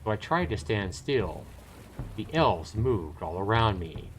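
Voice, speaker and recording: Man, game streamer, lowish pitch, very lively intonation, read-aloud narration, casual banter. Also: a little slow, faint music behind. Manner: jokey, bantering asides between read passages. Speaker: streamer reading a visual novel aloud.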